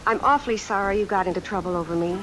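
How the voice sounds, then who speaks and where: An actress playing an earnest, guarded young American secretary, hesitant and polite, in old-time radio suspense style. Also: female voice, mid-range voice, rapid-fire, close to an everyday voice. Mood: shy, grateful. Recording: vintage radio recording, hiss and heavy rain. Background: rain sounds added over the broadcast.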